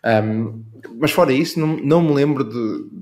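A man's voice: mid-range voice, normal speed, a little mellow. A man with a European Portuguese accent, co-hosting a casual podcast chat, hesitant and repeating words as he argues his opinions. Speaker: podcast co-host. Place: remote recording.

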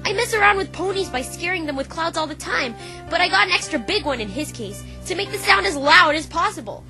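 Here is a woman acting as a brash young female cartoon pony, a pegasus with a high voice. She talks in a casual, cocky way and gets defensive, with music playing behind her. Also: expressive, a little fast, bright, forceful tone, heavily acted. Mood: boastful. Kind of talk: fan dub voice acting of a visual novel.

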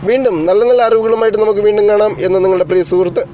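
A man's voice: high-pitched, fairly even intonation, very fast, warm tone. A man narrating an explanatory tech tutorial as its host, in a formal, wordy way that addresses viewers directly.

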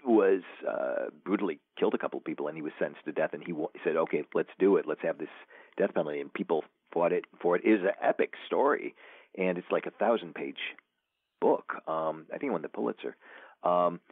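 Deep-voiced man on a tinny phone line. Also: brisk, dry recording. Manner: rambling, many fillers and restarts. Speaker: author guest. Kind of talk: casual interview talk.

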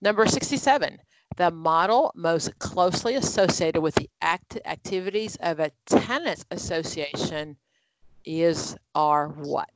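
A woman's voice: on the low side, lively intonation, unhurried, poor audio. A woman leading an online exam-prep study group, explaining answers with everyday examples in a conversational way over a video call.

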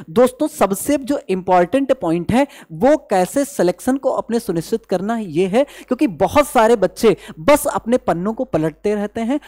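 A man's voice: very high-pitched, darkish tone. A young man teaching an exam-strategy class, speaking emphatically, repeating his points and asking rhetorical questions. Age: young adult. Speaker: maths teacher at an exam coaching channel. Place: indoor teaching studio at a digital board.

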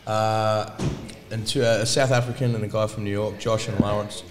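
A man's voice: lowish voice, level tone, moderate pace, background noise. Rambling, profane male podcast host. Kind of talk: casual chat.

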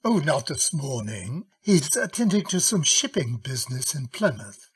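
An actor playing a courtly, cautious middle-aged gentleman lawyer in an old-time radio drama, husky, courteous and hesitant. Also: medium pitch, very animated, moderate pace. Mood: courteous, warm.

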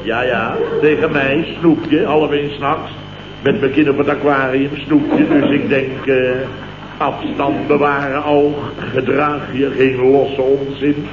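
An actor from the Netherlands playing a blustering, indignant company boss in a radio comedy, telling tales in clipped, mock-dramatic sentences with a Dutch accent. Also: male voice, mid-range voice, little pitch movement, measured pace, close to an everyday voice. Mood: mock-dignified. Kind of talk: 1960s-70s radio comedy acting. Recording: muffled old radio recording, noisy, roomy, hum.

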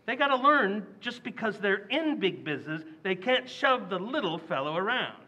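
An actor playing a loud, indignant working-class family man in a radio play. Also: sits high, big pitch swings, moderate pace, exaggerated voice. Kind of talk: old-time radio drama acting. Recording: dull lo-fi recording.

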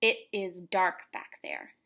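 A woman's voice: on the low side, wildly swooping pitch, takes it slowly, creaky, sharp tone, exaggerated voice. A woman voicing a bossy but caring female storybook shape in an emphatic, earnest, exclaiming way. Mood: firm.

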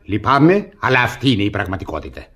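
An actor playing a stubborn, aggrieved husband, blunt, insistent and exasperated, in classic radio theatre comedy style. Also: male voice, on the low side, wildly swooping pitch, fairly bright tone. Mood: stubborn, sure.

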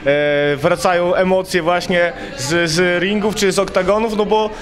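A man's voice: high-pitched, fairly even intonation, a little slow, faint music behind. A man in his thirties interviewing for a web TV channel, asking questions hesitantly with fillers, holding a handheld mic in an indoor event hall.

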